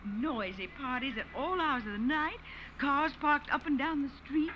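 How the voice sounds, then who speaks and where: An actress playing a chatty, disapproving widowed neighbor in an old-time radio drama, rambling on primly. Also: female voice, sits high, moderate pace, natural voice. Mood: indignant. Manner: rambling, gossipy, prim. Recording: muffled, noisy vintage radio recording.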